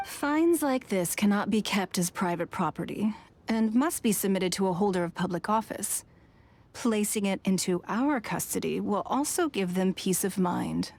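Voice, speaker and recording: A woman's voice: medium pitch, lively intonation, creaky, darkish tone. Refined, archly polite noblewoman. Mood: composed. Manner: formal, measured, archly polite. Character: refined, teasing noblewoman official, composed and sly. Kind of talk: anime fantasy game voice acting.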